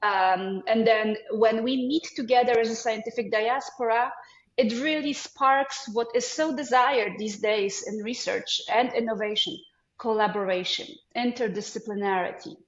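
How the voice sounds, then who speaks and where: A woman in her forties from Poland speaks with a Polish accent in a friendly, halting interview manner. Her voice comes through a dull, lo-fi line in a roomy, echoey space. She fills her pauses with ums as she explains. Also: fairly high pitch. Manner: hesitant, many ums, friendly. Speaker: guest, foundation CEO. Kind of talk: casual interview talk.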